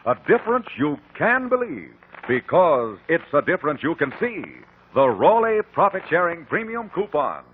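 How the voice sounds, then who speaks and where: A man's voice: a little high, big pitch swings, moderate pace. A man with an American accent reading a 1950s radio advertisement in an emphatic, persuasive way. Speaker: commercial announcer. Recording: tinny old radio, faint noise.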